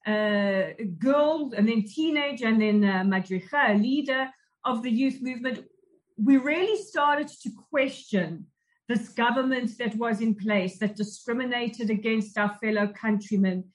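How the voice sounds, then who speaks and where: A woman's voice: a little high, low-quality recording. South African woman recalling her youth. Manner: measured, reflective, with frequent restarts. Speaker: guest. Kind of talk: personal recollection in an interview.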